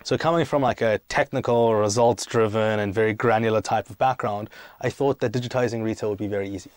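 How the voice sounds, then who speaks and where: A man's voice: fairly low pitch, fast talker, a little mellow, no echo. A young man from South Africa and Greece who gives conversational interview answers as a startup boss, explaining emphatically and posing questions that he then answers himself. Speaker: startup CEO, podcast guest.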